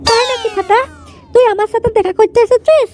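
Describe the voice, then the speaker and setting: A woman's voice: extremely high pitch, fairly quick, darkish tone, soft background music. A woman voicing a friendly, obliging young girl in a cartoon comedy, with a sweet, chatty manner. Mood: welcoming, chatty.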